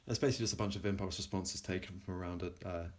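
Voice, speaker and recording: Low-voiced man giving a halting tech demo. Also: fairly even intonation. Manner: hesitant, halting, often pausing mid-sentence. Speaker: plugin developer presenting a demo. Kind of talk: informal technical demo narration.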